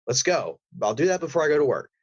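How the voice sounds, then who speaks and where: A man's voice: on the high side, lively intonation, fast talker. A man speaking as a podcast guest, giving advice in casual, emphatic, rambling explanations. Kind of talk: casual interview chat with advice. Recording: roomy, slightly dull audio.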